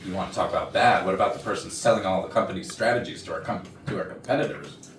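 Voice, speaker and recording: A clueless, blustering male executive in a stage comedy acted by a man. He talks fast and muddles his words with a know-it-all air. The recording is echoey, with faint noise. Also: low voice, close to an everyday voice. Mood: accusing. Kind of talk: staged reading of comedy dialogue. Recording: noisy roomy rehearsal, far from mic.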